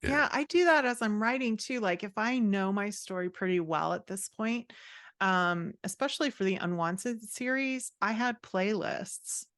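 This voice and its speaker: A woman chatting casually as a podcast guest, with an upbeat, expressive, conversational way of talking. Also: medium pitch, measured pace, dry recording, clean studio sound. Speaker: podcast guest, fantasy author. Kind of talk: casual interview chat.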